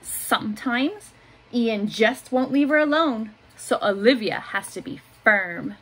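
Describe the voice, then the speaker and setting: A woman in her thirties reading a children's picture book aloud in simple, deliberate phrases, adding little asides for young listeners. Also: a little high, animated, fairly bright tone, husky, low-quality recording. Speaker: school staff member reading aloud to pupils. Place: small home office room, indoors. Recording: noticeable room noise behind voice.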